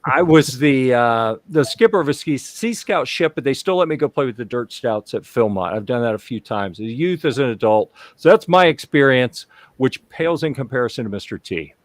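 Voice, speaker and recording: A man's voice: medium pitch, expressive, fairly quick, no echo. A man hosting a casual live-stream panel, chatty and joking, with plenty of fillers, on a video call mic. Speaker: host and show sponsor.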